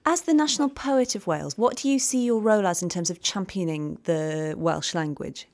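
A woman's voice: fairly low pitch, moderate pace, darkish tone. A woman radio interviewer asking probing questions and murmuring agreement as her guest talks.

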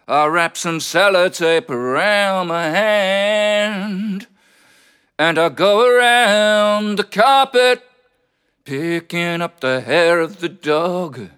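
A man's voice: high-pitched, bright, forceful tone, clear, resonant tone. A man performing comic spoken-word poetry in a playful, whimsical way, with rhythmic, rhyming delivery. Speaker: poet and comedian. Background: plucked guitar music.

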